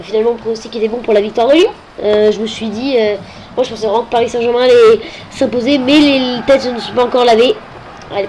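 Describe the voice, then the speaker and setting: A woman's voice: on the high side, darkish tone, a little hiss or hum, low-quality recording. A woman with a head cold commenting on a football video game, hesitant and full of filler sounds. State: has a cold.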